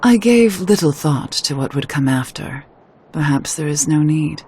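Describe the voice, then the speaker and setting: A woman playing a proud, lonely, resolute witch in a video game, with formal and measured speech. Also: deep voice, animated, darkish tone, slightly rough, exaggerated voice. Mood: resolute, somber. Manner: formal, measured, archaic phrasing. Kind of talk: scripted video game voice acting.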